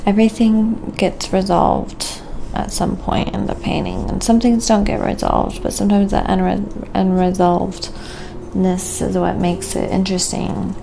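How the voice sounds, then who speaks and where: A soft-spoken woman chatting quietly and casually while painting on an ASMR live stream, often murmuring to herself. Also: mid-range voice, flat delivery, unhurried, gravelly, noisy background.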